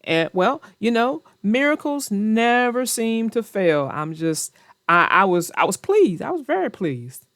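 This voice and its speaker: A woman's voice: medium pitch, sing-song, unhurried, fairly bright tone, no room sound, clean studio sound. A woman narrator and host reading and chatting theatrically for young children, addressing her young readers directly. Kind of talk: expressive read-aloud and chat for young children.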